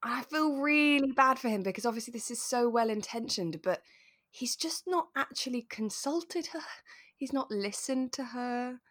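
A woman co-hosting a podcast, chatting in a relaxed, explanatory way with playful asides. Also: fairly high pitch, normal speed, no echo, clean studio sound. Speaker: podcast co-host. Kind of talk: relaxed casual discussion of a radio drama.

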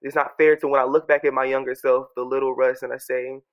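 A congested man journaling aloud at home into his microphone, speaking softly and earnestly, circling back and restarting his sentences as he reflects. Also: mid-range voice, little pitch movement, fast, smooth. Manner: soft, earnest, musing with many restarts. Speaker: podcast host journaling aloud. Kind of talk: reflective personal audio journal. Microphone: own studio microphone.

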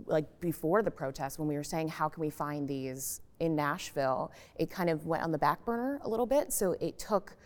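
Very low-pitched woman in an echoing hall. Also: moderate pace, hardly any pauses, a little mellow. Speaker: public radio journalist, panelist. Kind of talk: conversational panel discussion. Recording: echoey hall-like reverb.

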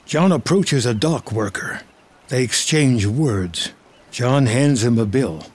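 A man's voice: mid-range voice, lively intonation, takes it slowly, faint music behind. A man giving scripted, present-tense narration of a film story as its narrator.

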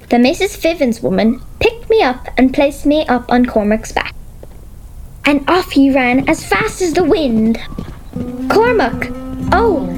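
An actress with an Irish accent playing an earnest, brave young girl who also narrates in a children's audio drama. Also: sits high. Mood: anxious.

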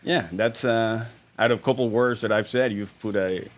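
This is a man born in Venezuela, chatting as a podcast guest in a fairly low voice. He talks in a halting way, with plenty of "uh" and "um" as he works through his stories, and he laughs often. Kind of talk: casual podcast chat.

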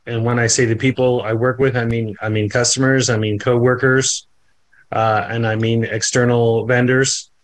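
A man's voice: mid-range voice, level tone, moderate pace. A man from New Zealand, a company founder and podcast guest, talking in a friendly way with fillers and repeats. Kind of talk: podcast interview.

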